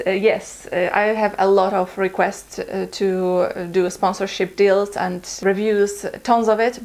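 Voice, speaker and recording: Polish-accented woman, 20s, casual YouTube Q&A host, chatty, filler-heavy. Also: mid-range voice. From Poland. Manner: chatty, laughs often, many ums and uhs. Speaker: vlogger. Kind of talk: casual Q&A vlog. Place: living room indoors.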